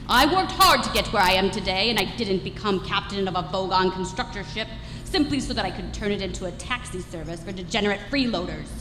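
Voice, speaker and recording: A woman's voice: medium pitch, animated, a little fast, a bit bright, close to an everyday voice. A woman playing an officious, bitter alien spaceship captain in a live radio-style comedy, making curt, self-important announcements. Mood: officious, hostile. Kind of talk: radio comedy stage play. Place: live stage performance in a hall. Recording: echoey hall, music behind.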